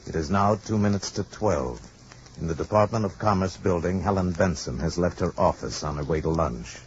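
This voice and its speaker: A man's voice: low-pitched, moderate pace, slight background noise. A man narrating an old-time radio drama in a theatrical storytelling style. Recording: muffled vintage radio broadcast. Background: dramatic music.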